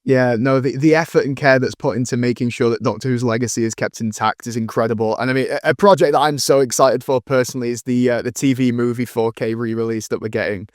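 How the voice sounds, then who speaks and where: A man's voice: medium pitch, fairly even intonation, quick pace, few pauses, no echo, studio quality. A man hosting a casual fan interview in an eager, effusive manner. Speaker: interviewer, host.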